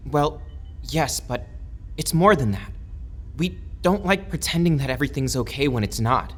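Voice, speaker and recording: A man's voice: mid-range voice, lively intonation, a bit bright. An anguished, haunted young man. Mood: troubled. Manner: halting, pleading, bursts of anger. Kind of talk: audio drama acting.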